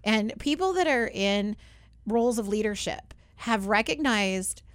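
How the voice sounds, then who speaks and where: A woman hosting a radio talk show in a studio, her voice hoarse and a bit raspy. She chats easily with her guest and keeps checking in with a quick "right?". The sound is dry and close. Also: medium pitch, animated, no room sound. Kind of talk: casual radio talk chat.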